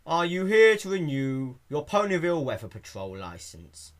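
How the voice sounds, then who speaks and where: Man playing a cartoon female pony clerk, bored, flat delivery, bad attitude. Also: fairly high pitch, normal speed. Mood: curt. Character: surly bored female pegasus desk clerk.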